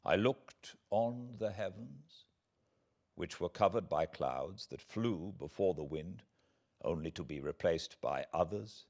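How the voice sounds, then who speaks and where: A man with a British accent reading classic literature aloud as an audiobook narrator, in a theatrical, deliberate way with dramatic pauses. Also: low-pitched, animated, creaky, a little mellow. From Britain.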